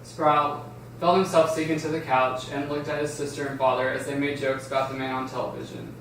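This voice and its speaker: A man's voice: mid-range voice, little pitch movement, a little fast, a bit bright. A man narrating stage directions at a script table read, recorded in an echoey room from a distance. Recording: echoey room, distant mic, faint noise.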